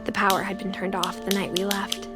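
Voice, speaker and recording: Woman as reflective seventeen-year-old girl, game narrator. Soft, measured, wistful storytelling at medium pitch, set over clear background music. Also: completely flat delivery, lightly acted. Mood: matter-of-fact, wistful. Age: young adult. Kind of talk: scripted first-person game narration. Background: prominent music.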